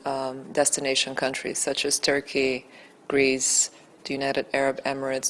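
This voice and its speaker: A native Bulgarian woman answering interview questions as a documentary director, earnest, deliberate and emphatic. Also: a bass voice, a bit bright, a little hiss or hum.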